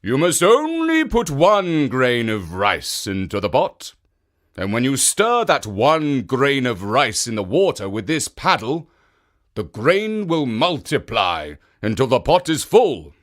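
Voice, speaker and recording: A man's voice: medium pitch, very animated, slow pace. A man voicing a commanding male ogre from a folktale, giving instructions emphatically in a storybook reading.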